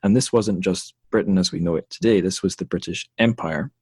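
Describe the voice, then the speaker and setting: A man from the United Kingdom hosting a podcast interview in a casual way, pausing often with fillers and restarts. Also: low voice, darkish tone, slightly rough, no echo, studio quality. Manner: hesitant, many fillers and restarts. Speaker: podcast host.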